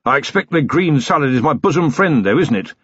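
Brief: narrator putting on jolly old army colonel, raised lively voice, husky edge. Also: male voice, fairly high pitch, a little fast, no room sound, pitched above the performer's own voice. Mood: hearty. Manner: hearty, rambling, cheerful. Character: bluff elderly male retired cavalry colonel. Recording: dry, slightly dull recording.

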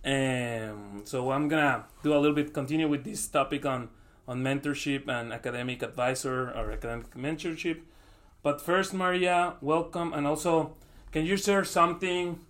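Halting man hosting a conference podcast. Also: on the high side, a bit bright. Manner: friendly, halting, repeats words, non-native grammar. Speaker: podcast host. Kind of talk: interview podcast. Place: conference venue.

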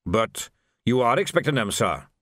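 Man voicing a lively, deferential old sergeant. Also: mid-range voice, normal speed, no room sound, clean studio sound. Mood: respectful, doubtful. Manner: folksy, ungrammatical, barks at recruits. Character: deferential ageing sergeant, cheerful old soldier drilling volunteers. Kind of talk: audiobook character voice.

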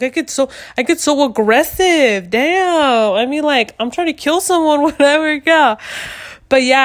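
A woman in her twenties from the United States, hosting a podcast in loud, blunt casual chat and swearing freely. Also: a little high. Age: 20s. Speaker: podcast host.

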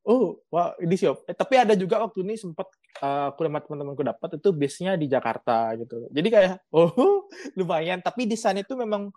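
A woman's voice: lowish voice, lively intonation, fairly quick, dark tone, dry recording. A young woman from Bali, a student guest on a podcast, chatting casually with lots of fillers and laughter. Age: young adult. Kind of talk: casual chat.

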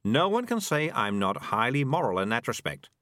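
A smug talking cat from a storybook, played by a male reader, always justifying himself with dry wit. The pitch swings wildly around a medium male register, and the sound is dry and close. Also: very animated, moderate pace, a bit bright, dry recording. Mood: menacing, smug. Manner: self-justifying, dryly wry.

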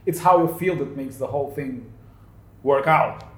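A man's voice: medium pitch, normal speed. A man hosting a podcast interview, asking loose, casual questions with lots of fillers. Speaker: podcast host. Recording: echoey room.

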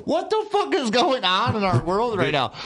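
A man's voice: extremely high pitch, moderate pace. A man hosting a casual livestream talk show, swearing often and bantering with his co-hosts. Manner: profane, animated, bantering. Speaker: podcast host.

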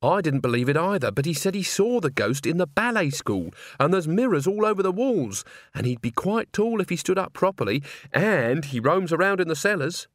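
Earnest, self-doubting young woman played by a male reader, halting and lively in pitch, for a storybook. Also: no room sound, clean studio sound. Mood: insistent. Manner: hesitant, stammering.

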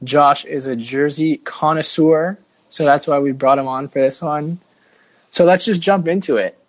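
Higher-voiced jokey man hosting, dry sound. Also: fairly even intonation, moderate pace, no echo. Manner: jokey, slangy. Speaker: podcast host. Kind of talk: casual sports podcast banter.

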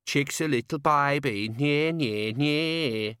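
A man's voice: fairly high pitch, takes it slowly, a bit bright, smooth, dry recording, studio quality, voice pushed up. A man voicing a male gang member taunting in a childish schoolyard singsong in an audiobook. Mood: jeering.

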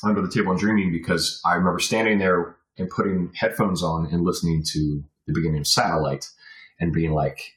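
A man's voice: low voice, fairly even intonation, fairly quick, a bit bright. An American man in his forties from Virginia, reminiscing casually as a podcast guest in a rambling way. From Virginia, USA. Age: 40s. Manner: rambling, punctuated with "you know". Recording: roomy, echoey sound.

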